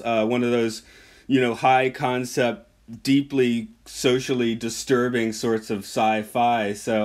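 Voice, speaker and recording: A man co-hosting a casual podcast chat, easygoing and laughing often. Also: mid-range voice. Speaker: podcast co-host.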